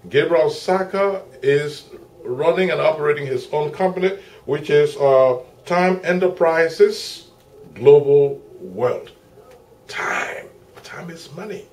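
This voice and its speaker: A man's voice: on the high side, takes it slowly. Chatty man hosting a community TV interview. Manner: chatty, expansive, warm welcoming patter. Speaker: interviewer and show host. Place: small makeshift home studio.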